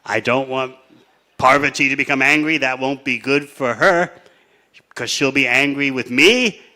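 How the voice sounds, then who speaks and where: A mighty male god, as a man puts him on, drops his voice to a hushed, confiding murmur, pitched lower than his own speech. He speaks slowly and cautiously, like someone afraid of being overheard. The tone keeps a bright edge even when quiet.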